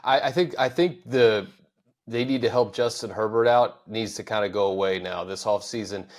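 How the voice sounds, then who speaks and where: A man hosting a sports talk show, laying out his points in a steady, methodical way. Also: on the low side, moderate pace. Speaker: host.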